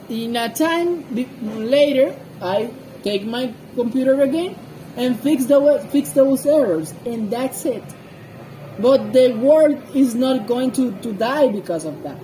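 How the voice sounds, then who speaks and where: A woman with a high voice speaks English as a second language, sharing informal scripture commentary. She goes slowly and haltingly, searching for her words. There is faint noise in the background. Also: mellow, poor audio. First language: not a native English speaker. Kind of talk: informal Bible commentary with personal anecdotes.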